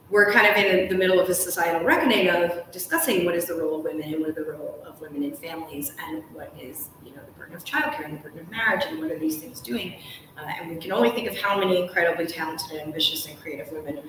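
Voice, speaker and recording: Echoey, bright-voiced woman hosting an interview. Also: lowish voice, fast talker. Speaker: host and interviewer. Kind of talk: live on-stage style book interview, conversational. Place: large ornate hotel room, indoors. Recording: echoey room, distant mic, faint noise.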